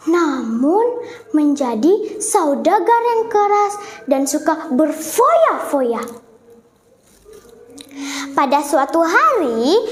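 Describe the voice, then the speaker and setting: A female storyteller telling a folktale in a theatrical, deliberate way, in a roomy, echoing recording. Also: very high-pitched.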